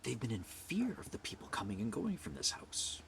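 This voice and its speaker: A middle-aged man from Canada with a loud voice, ranting bluntly to camera and swinging from hushed whispers to forceful outbursts. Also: on the low side, huge pitch swings, moderate pace, creaky, a little hiss or hum. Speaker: vlogger. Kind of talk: personal rant to camera.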